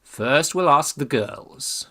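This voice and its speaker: An actor playing a brash, scheming young English undergraduate in a theatrical Victorian farce, exclamatory and bossy, with jolly old-fashioned slang. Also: male voice, on the high side, expressive, takes it slowly, gravelly, sharp tone, full character voice. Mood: excited, scheming. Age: young adult. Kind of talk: theatrical radio farce acting.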